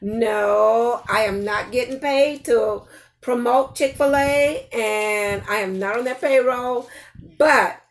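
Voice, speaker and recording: An older woman reading a picture book aloud to young children, playful and expressive, often putting questions straight to her listeners. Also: fairly high pitch, takes it slowly. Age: older, grandparent. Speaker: storytime host reading to children.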